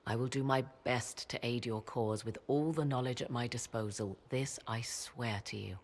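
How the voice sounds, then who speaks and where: An actress playing a proud, mysterious sorceress in a fantasy video game, with theatrical, archaic and measured phrasing. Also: female voice, extremely low pitch. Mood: solemn, mysterious. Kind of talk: dramatic video game acting.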